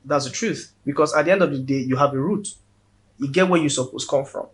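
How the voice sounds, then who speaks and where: A man's voice: medium pitch, fairly quick. A man with a Nigerian accent, a guest in a casual interview, speaking earnestly and often repeating words as he builds his point.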